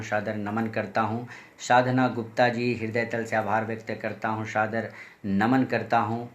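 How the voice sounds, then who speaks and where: A man from Chhattisgarh at an online poetry session, greeting and thanking each listener by name in a formal, respectful manner. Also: a bit bright. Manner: sings high and drawn out, spacing each phrase slowly. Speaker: poet. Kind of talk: sung Hindi poem, then spoken greetings at an online poetry session.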